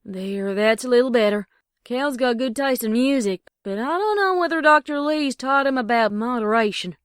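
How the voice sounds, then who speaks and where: A woman's voice: fairly high pitch, animated, moderate pace, smooth, dry recording, studio quality, lightly acted. A woman playing an earnest, protective young farm mare and big sister, plain and down-to-earth, with a Southern American accent. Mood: relieved. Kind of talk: audio drama character acting.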